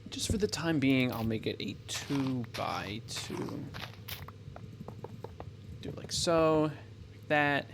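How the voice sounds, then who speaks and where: A man's voice: mid-range voice, expressive, takes it slowly, long pauses, background noise. A man giving casual gameplay commentary, thinking aloud as he goes, often restarting and correcting himself. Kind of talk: casual let's-play commentary.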